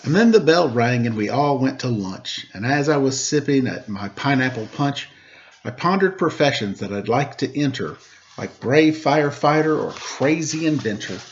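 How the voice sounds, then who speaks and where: Gravelly-edged man at story time, dull recording. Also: medium pitch, animated, normal speed, a little hiss or hum. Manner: playful, wry, conversational. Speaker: teacher reading aloud to children. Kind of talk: picture-book story time, casual chat. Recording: dull, lo-fi sound.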